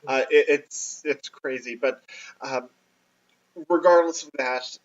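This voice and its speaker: A young man co-hosting a film podcast, talking bluntly about his opinions, with many filler pauses. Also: steady tone, a little hiss or hum. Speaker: podcast co-host. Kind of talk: casual film podcast chat. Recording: muffled low-quality recording.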